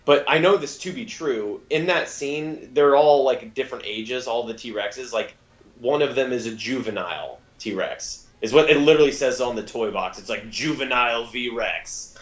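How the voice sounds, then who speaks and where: A slightly muffled, lo-fi recording of a male podcast co-host at a medium pitch. He chats casually and emphatically, stumbling and repeating words as he builds each point. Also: normal speed. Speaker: podcast co-host reviewing audio dramas. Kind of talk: casual, sweary review chat.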